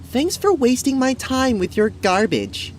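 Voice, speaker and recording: A woman's voice: high voice, big pitch swings, measured pace, exaggerated voice. A woman playing a jaded, flirtatious fantasy seductress with a sultry, teasing drawl in an audio drama. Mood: sarcastic, bored.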